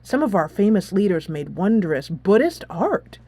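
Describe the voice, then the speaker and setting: A woman voicing a shy, gentle teenage schoolboy from Mongolia in a soft, hesitant, subdued way for a game. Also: medium pitch, very animated, moderate pace, slightly rough, dry recording. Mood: shy, reluctant.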